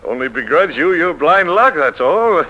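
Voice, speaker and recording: A jealous young rival in an old radio play. His voice is a little high and very animated, needling and jovial. The sound is dull and vintage. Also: unhurried. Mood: jovially envious. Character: envious, chuckling junior male rival publisher. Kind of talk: 1940s radio acting. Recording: dull 1940s radio recording.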